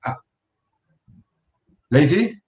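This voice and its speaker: A man's voice: very slow, a little mellow. Guy in his 40s hosting a video call panel, lots of uh and um, roomy echo, kinda muffled. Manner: hesitant, many fillers and restarts. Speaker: host. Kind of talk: moderating an online round table. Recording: echoey room, dull lo-fi sound.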